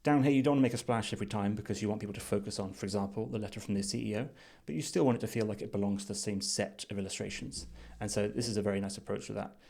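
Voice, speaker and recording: Low-voiced man giving thoughtful commentary. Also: racing, creaky, hardly any pauses, darkish tone. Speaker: design reviewer. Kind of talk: unscripted screen-share commentary.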